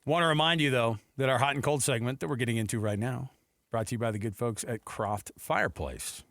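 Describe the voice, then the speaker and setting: Casting: American male sports radio host, bright and punchy, dry studio mic, casual opinionated commentary with rhetorical questions. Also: medium pitch, a little fast, no echo. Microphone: close studio headset mic.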